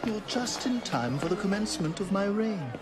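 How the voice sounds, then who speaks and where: Flamboyant, high-voiced scheming aristocrat. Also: male voice, big pitch swings, normal speed, over loud music. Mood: smug, boastful. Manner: theatrical, flippant, grandiose drawl. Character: flamboyant, sly aristocratic schemer ruling a beggars' court. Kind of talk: video game cutscene acting.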